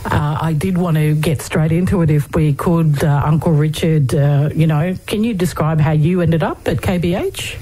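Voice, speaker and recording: A woman's voice: low-pitched, normal speed, few pauses, dark tone, poor audio. A woman hosting a radio interview, asking questions in a friendly, probing way with some fillers. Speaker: radio host.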